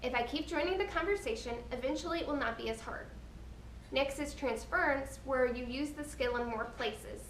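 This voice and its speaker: A woman giving a scripted slide presentation, explaining each point in a deliberate, earnest way. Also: sits high. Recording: roomy, distant mic with background noise.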